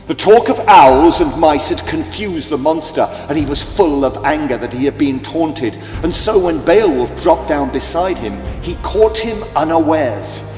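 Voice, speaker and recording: A man's voice: a little high, a little mellow. A man narrating a children's audiobook as a dramatic, scripted storyteller. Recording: echoey, long hall-like reverb. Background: music.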